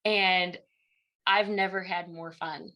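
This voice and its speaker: A woman's voice: on the low side, fairly even intonation, slow, sharp tone. A young woman from Illinois with a Midwestern accent, a university instructor chatting as a guest in an interview and acting out the conversations she recounts. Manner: chatty storyteller who acts out quoted exchanges. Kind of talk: casual interview chat.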